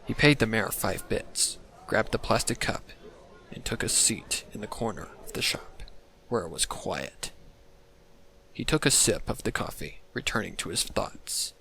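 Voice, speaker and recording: A man's voice: fairly low pitch, vocal fry, pushed and bright, breathy edge, poor audio. A man reading scripted story narration as the narrator of a fan fiction.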